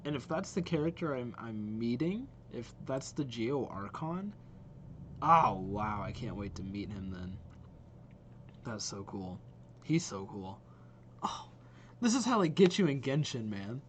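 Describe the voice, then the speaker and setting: A man's voice: mid-range voice, very animated, a little slow, a bit bright, slight background noise. A young man hosting a reaction video, musing aloud in casual, informal commentary and often repeating his exclamations.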